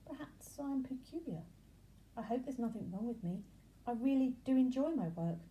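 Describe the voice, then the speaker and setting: A woman's voice: on the high side, expressive, moderate pace, warm tone, a little hiss or hum, voice pushed up, natural voice, low-quality recording. A woman voicing an earnest young male bee in a children's storybook, with an emphatic manner. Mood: anxious. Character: earnest, anxious young male worker bee, bossy when crossed.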